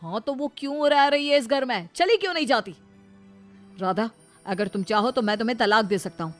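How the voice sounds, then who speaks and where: A woman voicing a callous young husband in a cartoon, brisk and dismissive, doting on his new wife. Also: medium pitch, a bit bright, soft background music, lightly acted. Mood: cold, dismissive.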